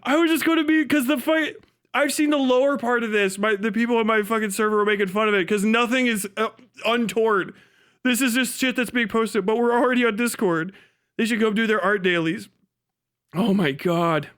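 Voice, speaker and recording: A man with a very high, bright voice gives casual live commentary. He is mocking and sarcastic, swears freely and gets giggly and excitable as he reacts. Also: fairly quick, clean tone. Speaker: livestream host.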